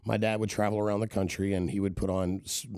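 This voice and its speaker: A man's voice: fairly low pitch, flat delivery, fast, a little mellow, no echo, clean studio sound. A middle-aged man telling long, rambling stories as a podcast guest, with many "right?" tags. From Kenya and the southern US. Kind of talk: casual storytelling interview.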